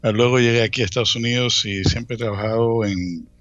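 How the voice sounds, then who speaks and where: A man in his late thirties with a Dominican accent, telling his own story casually as an interview guest, often pausing and repeating words. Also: mid-range voice, steady tone, a bit bright, no room sound, dull audio. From Dominican Republic. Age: late 30s. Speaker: interview guest, church ministry worker.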